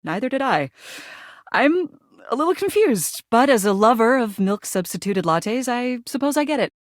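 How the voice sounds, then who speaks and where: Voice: mid-range voice, big pitch swings, moderate pace, dry recording, clean studio sound, lightly acted. A performer voicing an upbeat, chatty date companion in a video game, with a breezy, informal manner. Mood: playful, friendly. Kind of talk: video game character dialogue.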